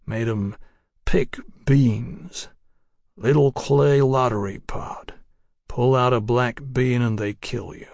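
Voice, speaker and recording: A menacing middle-aged gunman, acted out by a male audiobook reader. The voice is dry and sharp, with terse, toneless phrases that drag with weariness. It is recorded close and dry. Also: mid-range voice, steady tone, slow, creaky, dry recording. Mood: bitter, grim. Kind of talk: audiobook character acting.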